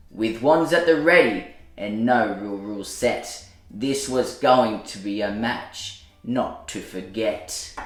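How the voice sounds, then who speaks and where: A man narrating a rhyming children's storybook as host, in an expressive, dramatic storytelling manner. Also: fairly low pitch. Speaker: storyteller, host of a children's read-aloud show.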